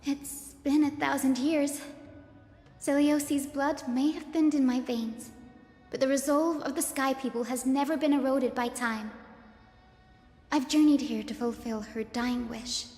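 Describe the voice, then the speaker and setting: A woman's voice: high voice, a little slow, soft background music. An actress playing an earnest, resolute young woman healer in a video game. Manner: earnest, steady. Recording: roomy reverb.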